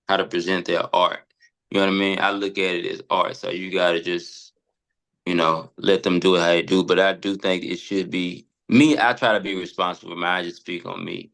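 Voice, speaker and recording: A man, a comedian guesting on a podcast, chatting casually in a halting way with repeated words. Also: sits low, gravelly, fairly bright tone. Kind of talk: casual conversational chat.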